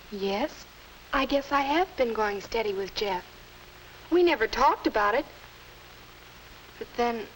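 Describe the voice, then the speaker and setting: An earnest teenage girl with a high, plaintive voice, fretting in the manner of a 1950s instructional film. The soundtrack carries a faint old hiss. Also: rough recording. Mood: thoughtful. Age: young adult. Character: teenage girl, earnest, fretting over her steady boyfriend.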